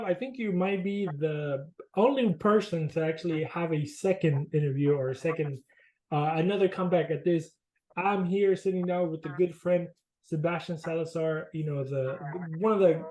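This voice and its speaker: Young dude hosting a podcast, mellow kinda high-ish voice, loose and chatty. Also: male voice, low-quality recording. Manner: friendly, loose, filler-heavy questions. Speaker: podcast host. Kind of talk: casual interview chat.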